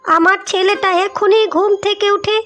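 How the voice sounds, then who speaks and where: A woman's voice: extremely high pitch, steady tone, normal speed, fairly bright tone. A woman voicing an older, bitter village mother-in-law in a cartoon story, nagging and complaining. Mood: angry scolding. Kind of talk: Bengali cartoon moral story acting. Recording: music behind the voice.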